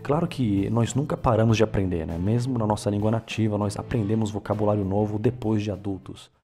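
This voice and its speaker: A Brazilian man in his thirties talks casually about his own experiences, with a low voice and a soft, mellow tone. He drifts along easily, dropping filler tags and correcting himself as he goes, and faint music plays underneath. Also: brisk. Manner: relaxed, with frequent filler tags and self-corrections. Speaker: vlogger. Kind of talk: casual personal narration to camera.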